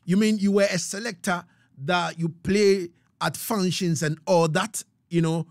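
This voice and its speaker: A man works as a radio interviewer. His voice sits a bit higher than most men's and sounds dry and close. He talks casually, repeating phrases and checking that he is being understood. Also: steady tone, unhurried, no room sound.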